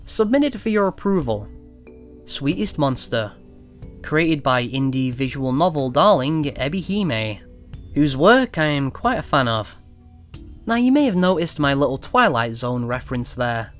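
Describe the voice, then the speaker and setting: A man hosting a video review, reading a scripted narration in a measured way with trailing asides. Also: medium pitch, big pitch swings. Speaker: video game reviewer, channel host. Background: music.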